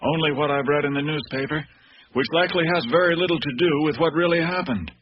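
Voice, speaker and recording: An actor playing a wry, teasing old retired police captain in a radio drama, with a dry, unhurried manner. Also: male voice, a little high, close to an everyday voice. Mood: genial, teasing. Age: older. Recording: dull, noisy radio drama recording.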